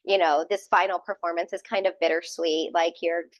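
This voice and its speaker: A woman hosts a casual podcast, asking friendly, measured questions sprinkled with "um" and "you know". Her voice sits somewhat low, and the recording sounds a little dull and muffled. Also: fairly even intonation. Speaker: podcast host.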